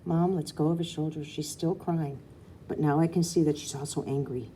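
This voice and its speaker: A woman reading a children's novel aloud, an expressive narrator with dramatic emphasis. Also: sits low, moderate pace, husky. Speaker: audiobook reader. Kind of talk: expressive storybook narration, first person as a girl.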